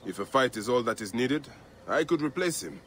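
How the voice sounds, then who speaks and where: An actor playing a calm, steady male warrior protagonist in a video game who speaks firmly. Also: mid-range voice, a little hiss or hum, close to an everyday voice. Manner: calm, firm, measured.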